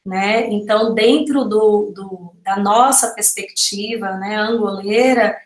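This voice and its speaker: A woman's voice: mid-range voice, takes it slowly. Woman explaining on a roomy call. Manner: reflective, explanatory, frequent tag questions. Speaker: panel speaker. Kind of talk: explanatory talk on a video call. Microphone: video call. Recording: roomy video-call audio.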